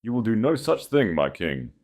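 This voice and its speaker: A man voicing a cold, shrewd queen in an audiobook, measured, composed and quietly commanding. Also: on the low side, very animated, pitched above the performer's own voice. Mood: icy command. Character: cold, shrewd, imperious queen, calm and calculating. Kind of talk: audiobook character acting.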